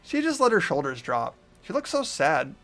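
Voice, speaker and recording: A lead player narrates a game story in an expressive, animated way, sliding easily into casual banter. The voice is medium in pitch with lively intonation. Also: moderate pace, soft background music.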